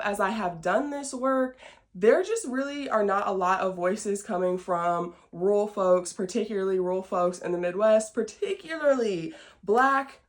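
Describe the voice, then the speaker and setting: A woman in her late twenties from small-town Illinois speaks with an American accent at a middle pitch. She hosts a podcast in a casual, folksy manner, addressing listeners directly and poking gentle fun at herself. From small-town Southern Illinois, United States. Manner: informal, folksy, self-deprecating. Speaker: podcast host. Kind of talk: casual chat to camera, introducing a show.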